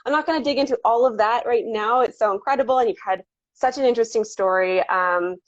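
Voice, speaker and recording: A woman's voice: a little high, fast talker, no room sound. Chatty woman host, dull dry livestream sound. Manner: chatty, upbeat, many fillers and restarts. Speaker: interview host. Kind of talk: casual conversational interview.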